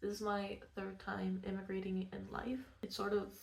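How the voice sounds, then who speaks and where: Soft-spoken young woman, creaky, echoey room. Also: mid-range voice, completely flat delivery, normal speed. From Venezuela. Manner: soft-spoken, rambling, candid. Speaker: vlogger, cartoonist. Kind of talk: casual personal vlog.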